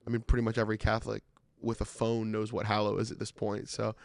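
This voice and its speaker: A young man hosting a podcast, relaxed and encouraging as he asks his guest questions. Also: lowish voice, fast talker, gravelly, dry recording, studio quality. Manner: relaxed, encouraging, lots of short affirmations. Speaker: podcast host. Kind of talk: casual interview.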